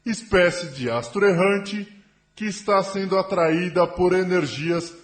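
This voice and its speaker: Man acting as grave alien scientist, high male voice, slow deliberate oratory, formal, audio drama. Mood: grave, concerned.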